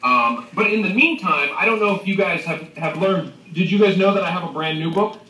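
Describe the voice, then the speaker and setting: Roomy, distant, higher-voiced man addressing children. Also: brisk, pushed and bright. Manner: enthusiastic, addresses children directly. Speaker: children's author presenting his book to a class. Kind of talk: animated school talk and storybook reading. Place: video call played into a school library. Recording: roomy, distant video-call sound.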